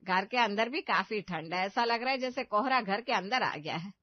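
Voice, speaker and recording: A woman's voice: medium pitch, lively intonation, normal speed, dry recording, lightly acted. A woman voicing a tired elderly mother-in-law in a cartoon, complaining about aches. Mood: weary. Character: weary elderly mother-in-law with aching joints. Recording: dull, muffled audio.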